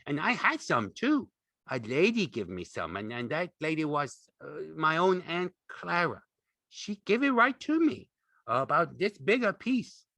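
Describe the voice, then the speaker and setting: A man voicing a big, childlike, slow-witted man who speaks simply and earnestly in ungrammatical rural English, in an audiobook. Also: mid-range voice, very animated, pushed and bright, no room sound, voice pushed up, exaggerated voice. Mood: delighted. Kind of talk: audiobook character acting.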